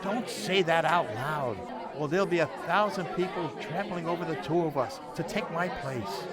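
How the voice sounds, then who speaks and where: A man's voice: a little high, normal speed, natural voice. A man in amateur radio theater playing an eager, unsuspecting traveler in a conversational way. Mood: cheerful, dismissive. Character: eager, unsuspecting male traveler boarding a spaceship. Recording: loud crowd hubbub behind.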